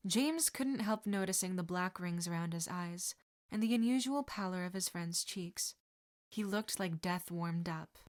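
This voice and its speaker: A woman narrating fan fiction as a podfic reader, in a calm, even reading voice. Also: lowish voice, unhurried.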